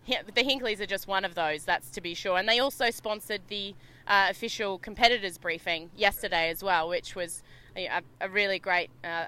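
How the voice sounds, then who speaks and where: A woman from Sydney with a loud, bubbly, chatty manner, giving live commentary on a sailing race from a media boat. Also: medium pitch, clear, resonant tone. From Australia.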